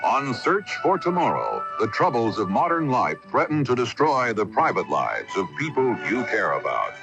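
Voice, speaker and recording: A male announcer reads a dramatic promo in a creaky voice with very wide intonation. Music is clearly audible behind him. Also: mid-range voice, wildly swooping pitch, normal speed, muffled recording. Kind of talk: dramatic television promo read.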